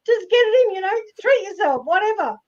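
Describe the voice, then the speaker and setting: A woman with a loud voice, giving emphatic, reassuring life advice in a casual live-stream chat. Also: very high-pitched, expressive, a little fast. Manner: emphatic, reassuring, repeats herself. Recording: dull lo-fi stream audio.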